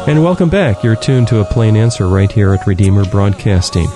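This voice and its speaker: A man's voice: on the low side, lively intonation, fairly quick, loud music behind the voice. A man hosting a radio talk show from a studio, introducing his guest and asking short questions.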